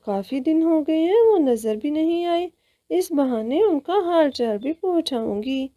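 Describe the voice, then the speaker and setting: Woman as a high-voiced, caring little bird. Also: expressive, a little slow, mellow, dry recording, clean studio sound. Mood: chatty, caring. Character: caring, sociable female bird friend.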